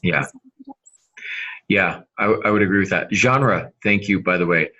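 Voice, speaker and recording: Deep-voiced man with a bright, forceful edge. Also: unhurried. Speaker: podcast co-host and novelist. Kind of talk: casual podcast discussion.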